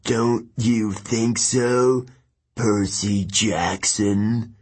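A man playing an ancient, cold, evil titan lord in an audiobook, with a harsh, scraping voice and deliberate, menacing words. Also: lowish voice, fairly even intonation, darkish tone, breathy edge, heavily acted. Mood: cold, gloating. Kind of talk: audiobook character voice.

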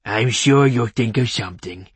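A man's voice: medium pitch, normal speed, slightly rough, no room sound. A man voicing a playful, mischievous pet dog in an audiobook, with a cheeky, conspiratorial manner.

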